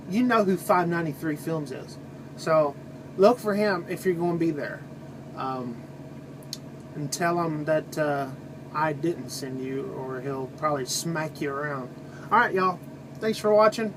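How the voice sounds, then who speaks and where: High-pitched man, casual vlogging. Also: a little hiss or hum, poor audio. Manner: folksy, opinionated, informal. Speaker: trucker video blogger. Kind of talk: casual rambling vlog monologue.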